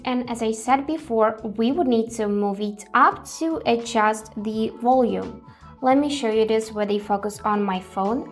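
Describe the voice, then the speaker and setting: Higher-pitched woman presenting a tutorial. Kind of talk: step-by-step product tutorial.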